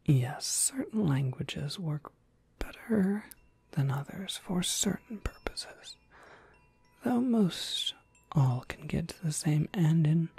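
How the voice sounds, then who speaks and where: A woman playing a courteous, businesslike witch shopkeeper in a soft, measured ASMR whisper. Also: sits low, gravelly, breathy edge, dry recording, full character voice. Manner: measured, polite, gently explanatory.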